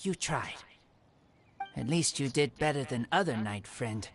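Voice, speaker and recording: A man voicing a wary young wild boy in a video game, speaking in halting, broken, simple sentences. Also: mid-range voice, lively intonation, fairly bright tone, soft background music. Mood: reassuring. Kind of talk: video game character acting.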